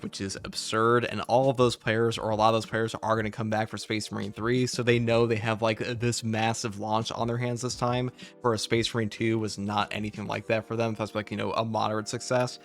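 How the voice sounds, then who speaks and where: A man hosting a casual gaming commentary discussion, speaking in a conversational, opinionated way. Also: on the low side. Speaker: video game channel host. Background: background music.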